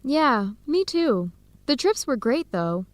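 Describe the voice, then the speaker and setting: Friendly, higher-voiced young American researcher. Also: female voice, sing-song, takes it slowly, dry recording, heavily acted. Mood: upbeat, chatty. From United States. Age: young adult. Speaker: radio drama actor. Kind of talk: scripted English-learning radio drama.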